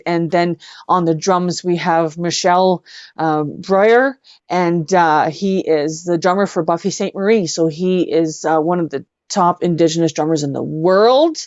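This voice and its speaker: A woman's voice: lowish voice, a little mellow, smooth, no echo, muffled recording. A woman chatting casually as an interview guest, effusive and repeating words for emphasis. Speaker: interview guest, singer-songwriter and actress.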